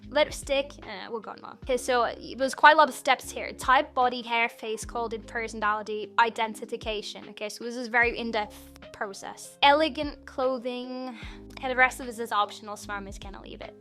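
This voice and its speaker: Bright-voiced woman reviewing a website. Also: on the high side, fairly even intonation, moderate pace, soft background music. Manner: chatty, thinks aloud while clicking through menus. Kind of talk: casual screen-recorded product review.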